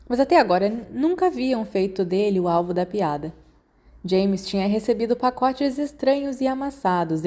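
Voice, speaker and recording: Female Brazilian narrator for fan audiobook, soft-edged mellow tone, scripted storytelling delivery. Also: medium pitch. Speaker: audiobook reader.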